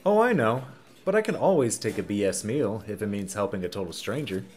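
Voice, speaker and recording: Male actor as folksy small-town guy, low pitch, animated intonation, chatty, audio drama. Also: a little fast, natural voice. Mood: genial, chatty.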